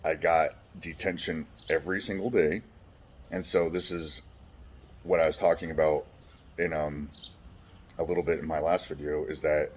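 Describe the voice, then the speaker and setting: A man with a deep, resonant voice telling his life story frankly, swearing casually and restarting often. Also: a little hiss or hum. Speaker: vlogger. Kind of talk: candid personal storytelling.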